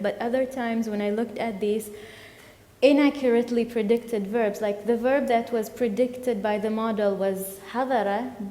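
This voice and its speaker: A woman whose first language is Arabic, giving an academic conference talk, halting and full of fillers and self-corrections. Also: on the high side, a little mellow. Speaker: conference presenter. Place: lecture hall. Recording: echoey hall with long reverb.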